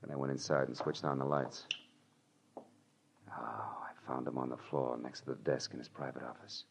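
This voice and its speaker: A man's voice: a bass voice, little pitch movement, normal speed, gravelly, noisy background. An American man playing a world-weary, wry private detective who narrates his case in clipped 1940s radio drama style. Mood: terse, wry. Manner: clipped, wry, hardboiled delivery. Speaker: lead actor and narrator. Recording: dull old radio drama recording, music.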